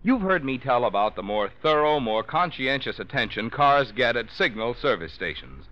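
Lively male announcer, old radio recording. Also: mid-range voice, highs cut off. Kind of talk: 1940s radio sponsor announcing, scripted.

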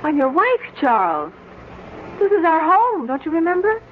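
Through a muffled, noisy vintage radio recording comes an earnest, concerned woman with a high voice. She is gently firm and theatrical, in the manner of 1940s radio drama. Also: big pitch swings, moderate pace.

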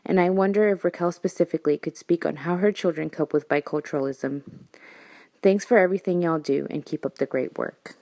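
A woman's voice: on the low side, brisk, mellow. A young woman from New York, a native Spanish speaker, earnestly reading her listener letter aloud. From New York, USA.

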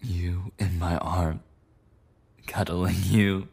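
A man's voice: deep voice, level tone, drawn-out words, creaky, darkish tone, husky, exaggerated voice. A man playing a clingy, possessive yandere boyfriend in an intimate ASMR roleplay monologue, rambling and cooing tenderly with lapses into whispers. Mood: fervent, possessive.

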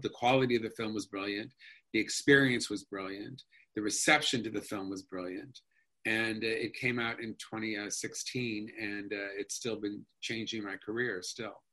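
A man's voice: medium pitch, a bit bright. A man being interviewed as an actor, chatting casually and rambling with many restarts and fillers. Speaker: actor guest.

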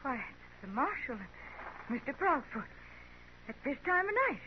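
High, lilting frontier ranch mother. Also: sing-song, moderate pace. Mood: polite, uneasy. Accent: rural American. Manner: folksy, courteous. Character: polite, anxious older ranch woman, a mother. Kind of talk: 1950s radio Western acting. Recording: muffled old radio recording, faint noise.

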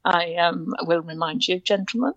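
A woman's voice: normal speed, fairly bright tone, dry recording, highs cut off. An older woman from North Wales, chatting as a guest and telling stories with lots of laughter. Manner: bubbly storyteller, laughs often and breaks into high squeals. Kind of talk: casual chat.

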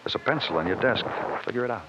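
A man acting a hard-boiled, dryly relentless detective in a 1950s radio crime drama, with clipped, deadpan, poetic phrasing. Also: medium pitch, quick pace, heavy background noise, natural voice. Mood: businesslike. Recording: muffled old radio recording with music.

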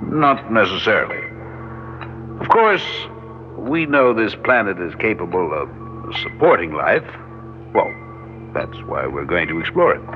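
An actor playing an older, cautious, rational space scientist in old-time radio drama style, measured and deliberate. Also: male voice, deep voice, very animated. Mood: firm, measured. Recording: dull old radio, music behind.

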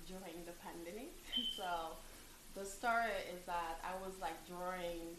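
A woman's voice: lowish voice, fairly even intonation, a little slow, a bit bright. A woman from France, chatting casually as an interview guest in hesitant English. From born in Africa, grew up in France. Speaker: guest, fashion designer and entrepreneur. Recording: roomy echo, clearly noisy background.

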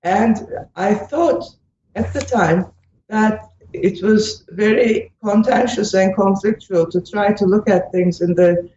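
A middle-aged woman with a Turkish accent answers as an interview guest. She speaks reflectively and haltingly, hesitating often. She sounds far from the mic in an echoey space, with faint noise behind her. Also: medium pitch, mellow. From Istanbul, Turkey. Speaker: interview guest, sociology professor and author. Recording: echoey, muffled, distant, noisy remote line.

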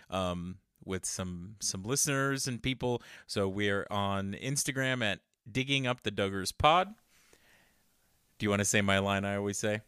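A man's voice: fairly low pitch, fairly bright tone, smooth, no room sound, studio quality. A man co-hosting a podcast in casual chat, laid-back and agreeable, often answering in short replies. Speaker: podcast co-host.

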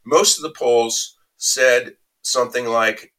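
Bright-toned man, reflective interview guest. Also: mid-range voice, rough recording. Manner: reflective, anecdotal, measured. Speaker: interview guest recounting a reporting trip. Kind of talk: conversational interview answer.

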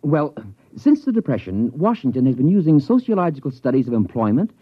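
Affronted stuffy professor, husky, pompous pedant, 1950s radio comedy, muffled roomy recording. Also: male voice, a little high, very animated, brisk. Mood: defensive, pompous. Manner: pedantic, haughty, long-winded.

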